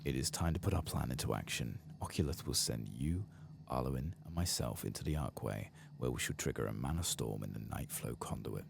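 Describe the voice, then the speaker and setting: A soft-spoken man murmuring and whispering ASMR commentary as he plays a video game, reading some text aloud. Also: a little fast, hardly any pauses, background noise. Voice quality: low, gravelly, breathy murmur. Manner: reads quest text aloud softly. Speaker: streamer playing and narrating a video game.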